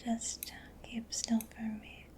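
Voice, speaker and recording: A woman whispering breathily in a gentle, reassuring, unhurried ASMR roleplay as a mechanic. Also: a little high, monotone. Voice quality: breathy whispering, mostly unvoiced. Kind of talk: soft-spoken ASMR personal attention roleplay.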